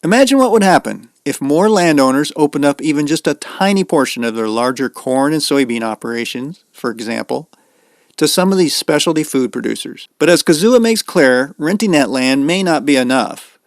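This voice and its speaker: A man's voice: on the high side, expressive, normal speed, no room sound, studio quality. A man with an American accent reading scripted narration as a podcast host.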